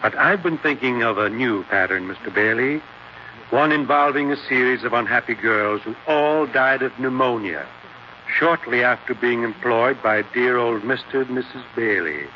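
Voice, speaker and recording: An actor playing a sly older mortician turned blackmailer, hesitant and politely insinuating, in 1940s radio drama style. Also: male voice, medium pitch, normal speed, close to an everyday voice. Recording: dull, noisy 1940s radio recording.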